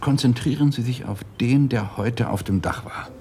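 An actor playing a troubled middle-aged married police detective in a German radio drama, gruff but candid. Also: male voice, mid-range voice, moderate pace, a little mellow, a little hiss or hum, close to an everyday voice. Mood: curt, impatient.

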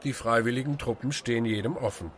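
A male actor plays a calm organiser of volunteers in a radio drama. His mid-range voice is dry and wry as he probes others. A faint background noise sits under the recording. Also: medium pitch, fast talker, natural voice. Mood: firm.

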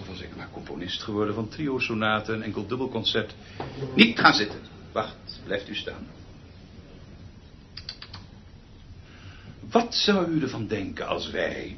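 Imperious, highly animated orchestra conductor. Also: male voice. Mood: exasperated, brooding. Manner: theatrical, pompous, swinging from muttering to shouting. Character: imperious, exasperated, eccentric male orchestra conductor. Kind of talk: radio play acting. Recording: dull recording with noticeable hiss.

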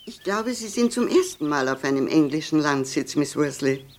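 A woman's voice: deep voice, expressive, normal speed, a little mellow. Snobbish old society lady. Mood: condescending, gossipy. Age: older. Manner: condescending, hesitant, rambling. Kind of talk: 1960s German radio play acting.

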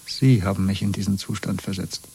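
A man playing a broken but stubbornly defiant prisoner in a radio drama, speaking tersely, with weary, quiet insistence. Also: deep voice, fairly even intonation, brisk, husky, exaggerated voice. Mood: bitter, stubborn. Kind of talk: German radio drama acting.

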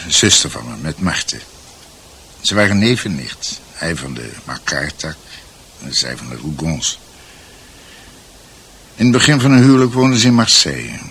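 An actor playing an older doctor in a radio drama, musing hesitantly and in a measured way over his family's history, with a gravelly voice. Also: sits low, fairly bright tone, a little hiss or hum, heavily acted. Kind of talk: Dutch radio drama acting.

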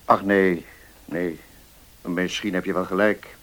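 An actor playing a middle-aged notary in a Dutch radio play, measured and deliberate, kindly but firm. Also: male voice, sits low, fairly even intonation, fairly bright tone. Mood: thoughtful.

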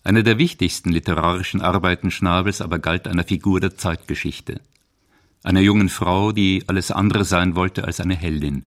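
Male documentary narrator, deep voice, formal measured reading of a script. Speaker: radio feature narrator.

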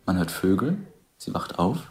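A matter-of-fact man describing a dreamlike scene in a radio drama. His voice is very deep and plain, with faint music behind. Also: very animated, moderate pace, darkish tone.

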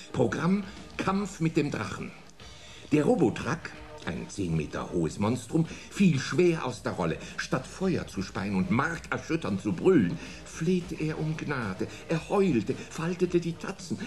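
An actor playing a formal, harried security chief in a German radio play, earnest, indignant and emphatic. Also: male voice, mid-range voice, darkish tone.

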